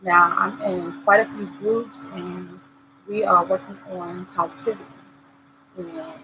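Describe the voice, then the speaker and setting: A hoarse woman, fighting off a cold, talks earnestly to her listeners. She encourages them and repeats her main points for emphasis. The recording is made at home, with clear noise behind her. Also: medium pitch, unhurried. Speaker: host. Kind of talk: motivational talk show monologue.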